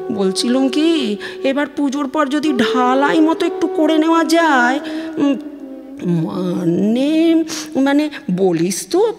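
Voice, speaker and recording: A high-pitched, nagging mother from a village , acted by a woman, questions and presses in colloquial West Bengal speech. She is a worrier in an audio play. Her voice rings in long reverb over loud music. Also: exaggerated voice. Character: anxious, nagging, needy village mother on the phone.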